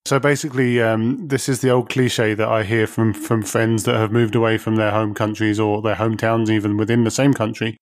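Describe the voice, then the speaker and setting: A man's voice: on the low side, little pitch movement, a little fast, few pauses, no echo. Male podcast host, dry close sound. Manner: conversational, joking, rambling questions with fillers. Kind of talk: casual interview questions.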